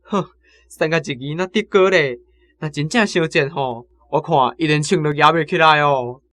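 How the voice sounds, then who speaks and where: A man's voice: on the high side, moderate pace, a bit bright, no echo, lightly acted. A man with a Taiwanese accent playing a gossiping, sneering young soldier in a radio drama. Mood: scoffing.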